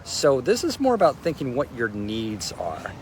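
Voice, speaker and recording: A man's voice: medium pitch, huge pitch swings, moderate pace, heavy background noise. A man with a strong voice giving a casual, loosely phrased spoken review. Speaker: product reviewer.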